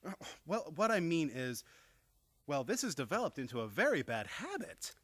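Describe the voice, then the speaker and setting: An actor playing a flustered, exasperated nephew and theatre critic in a live radio comedy, stammering and theatrical. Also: male voice, fairly high pitch, big pitch swings, normal speed, a bit bright. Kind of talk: live old-time radio comedy acting.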